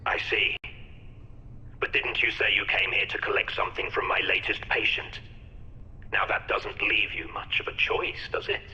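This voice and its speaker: An actor playing an eccentric, menacing hospital doctor in a video game, with a breathy voice and mockingly formal, wordy manner. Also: male voice, lowish voice, little pitch movement, normal speed, bright, forceful tone, reverberant, long reverb tail, muffled recording, a little hiss or hum. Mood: coolly manipulative. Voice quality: breathy, whispery. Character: eccentric, menacing, polite mad hospital doctor, male villain. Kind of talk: theatrical video game voice acting.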